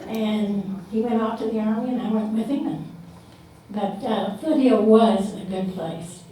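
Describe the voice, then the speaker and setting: An older woman shares memories in an informal way. Her tone is dark and mellow, and it reaches the mic from a distance. The room rings heavily around her. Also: mid-range voice, unhurried. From Corona, California. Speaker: panel speaker sharing memories. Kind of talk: informal reminiscence. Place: community room at a public panel event. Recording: very echoey room, distant mic, faint noise.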